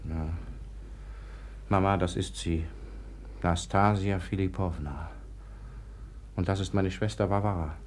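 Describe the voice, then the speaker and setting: An actor playing a resentful, irritable grown son with a curt, sarcastic manner in a 1950s German radio drama. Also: male voice, deep voice, steady tone, unhurried, long pauses, a little hiss or hum. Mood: strained, sardonic.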